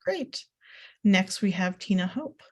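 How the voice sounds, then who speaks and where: A woman's voice: medium pitch, sing-song, slow pace. A woman moderating a career panel, with upbeat spoken acknowledgements as she hands over to each guest.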